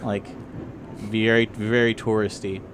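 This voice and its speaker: A man's voice: lowish voice, little pitch movement, measured pace, slight background noise. A man playing a tabletop roleplaying game, chatting casually and tossing in acted-out asides. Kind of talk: casual improvised game chat. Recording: music clearly audible behind voice. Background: background music.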